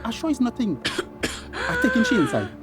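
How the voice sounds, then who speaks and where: A man's voice: very high voice, huge pitch swings, a little fast, loud music behind the voice, exaggerated voice. A man playing a flustered, hesitant uncle in a radio drama, with a Tobagonian accent. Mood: anxious.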